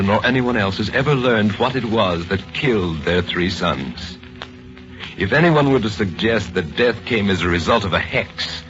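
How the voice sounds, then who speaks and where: A man narrates a vintage radio mystery in a low, grave voice, taking his time. Music plays clearly under him, and the recording is dull and lo-fi. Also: fairly bright tone. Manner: grave, deliberate. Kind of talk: scripted dramatic narration.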